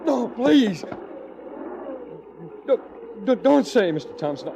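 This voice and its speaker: An actor playing a jealous, haunted, hard-drinking frontier husband with an American accent in an old western radio drama, folksy and combative. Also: male voice, very high-pitched, very animated, slow, vocal fry, dark tone, lo-fi sound, exaggerated voice. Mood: desperate, confessing. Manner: folksy, combative, breaking into desperate pleading. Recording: noisy old radio broadcast recording.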